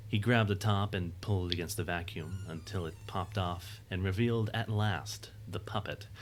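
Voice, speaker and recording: Male narrator for audio drama: low-pitched scripted storytelling, slight background noise. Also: normal speed.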